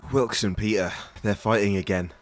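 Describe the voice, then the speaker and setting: A man's voice: lowish voice, fairly even intonation, moderate pace, close to an everyday voice. A man playing a flustered pirate crewman in an audio drama, out of breath, hesitant and deferential. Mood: breathless, urgent. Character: flustered pirate crewman bringing urgent news.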